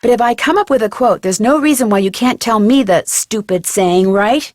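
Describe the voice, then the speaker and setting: A woman plays a young amateur sleuth in a video game, courteous and inquisitive. Her voice sits a little high and is recorded dry, with a tactful, probing way of speaking. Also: normal speed, darkish tone, no echo, lightly acted. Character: polite, inquisitive young female amateur detective.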